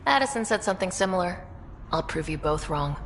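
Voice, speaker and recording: A woman's voice: fairly low pitch, lively intonation, brisk, long reverb tail, a little hiss or hum. A woman playing a composed young explorer hero in a video game, direct and dry in delivery. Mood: probing, dry. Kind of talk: video game cutscene acting.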